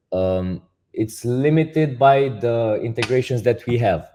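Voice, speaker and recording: A man with a Romanian accent, a project founder speaking as a guest and explaining step by step in halting, restarting sentences. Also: mid-range voice, dark tone. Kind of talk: casual interview talk.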